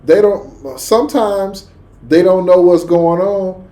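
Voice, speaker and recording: Male reaction host, notably high-pitched, casual and slangy, emphatic, repeats phrases for weight. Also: slow, mellow.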